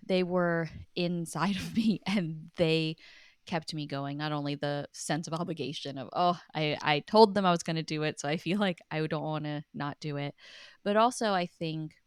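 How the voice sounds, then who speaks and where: Casting: woman interviewee, deep-toned and smooth, chatty, earnest, reflective storyteller. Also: no room sound, clean studio sound. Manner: earnest, chatty, laughs easily. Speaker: podcast guest, writer and documentary producer.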